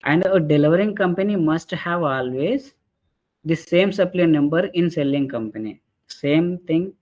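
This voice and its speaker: A man presenting an explanatory software training webinar in a halting way, often checking in with short tag questions. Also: fairly high pitch, lively intonation. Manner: halting, repeatedly checks with "right?" and "okay". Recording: dull lo-fi webinar audio.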